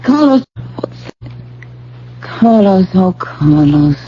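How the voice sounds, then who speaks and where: An actress playing a dutiful, apologetic young assistant in a radio drama, with a gentle, placating manner. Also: female voice, mid-range voice, big pitch swings, very slow. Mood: exasperated. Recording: dull old radio broadcast.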